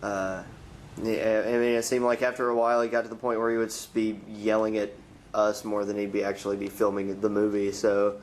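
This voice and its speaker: A man playing a reluctant, hesitant young former film actor in a naturalistic found-footage interview, halting and noncommittal. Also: fairly low pitch, level tone, slight background noise, natural voice. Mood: hesitant, guarded. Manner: halting, noncommittal, mumbly fillers.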